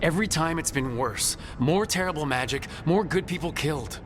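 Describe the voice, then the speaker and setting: A man's voice: fairly high pitch, expressive, moderate pace, noisy background, lightly acted. A man playing an earnest hero in scripted fantasy game dialogue. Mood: troubled, weary. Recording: long reverb, music behind.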